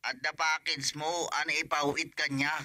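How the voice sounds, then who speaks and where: A man's voice: fairly high pitch, level tone, normal speed, bright, forceful tone, dry recording. A man acting a pushy, cheeky stranger on a call in a comedy radio drama, insistent and teasing.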